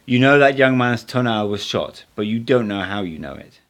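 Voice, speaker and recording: A man's voice: on the low side, expressive, moderate pace, fairly bright tone. A man reading the part of an assured male teacher, speaking in a didactic, emphatic way in an audiobook. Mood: approving, explanatory. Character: Don Juan, assured male teacher, instructing and correcting.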